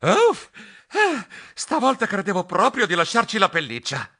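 A man voicing a fearful, excitable mouse adventurer in a children's storybook, melodramatic and exclamatory. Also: high-pitched, normal speed, no echo, clean studio sound, voice pushed up, full character voice. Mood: relieved.

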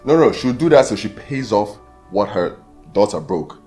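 Callous, domineering Nigerian male employer, animated and blustering, echoey room with music behind, film drama. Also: fairly low pitch, normal speed. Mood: cold, dismissive. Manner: blustering, repeats himself insistently.

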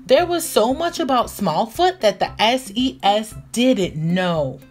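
Female children's read-aloud narrator: higher-pitched, expressive, unhurried, with music underneath. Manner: expressive, deliberate storytelling. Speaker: children's storybook reader.

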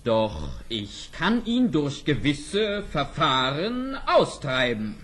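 An actor playing a bold, ardent young prince, declaiming theatrically in an audio fairy-tale drama. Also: male voice, fairly high pitch, very animated, slow, a bit bright, full character voice. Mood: measured, scheming.

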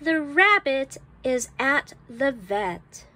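A woman reading an early phonics book aloud for children as a teacher, enunciating each word deliberately and carefully. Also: sits high, sing-song, sharp tone. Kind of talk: slow read-aloud of an early reader for children.

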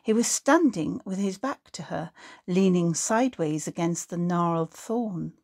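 A woman's voice: on the low side, animated, measured pace, darkish tone. A woman reading literary prose aloud, as an author narrating an excerpt from a book.

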